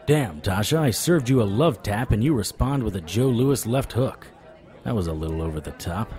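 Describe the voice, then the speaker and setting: A man's voice: on the low side, lively intonation, moderate pace, mellow, some chatter behind, lightly acted. An actor playing a wry, sarcastic hard-boiled private investigator who narrates his own noir audio drama. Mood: dry banter. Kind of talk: noir radio drama with first-person narration.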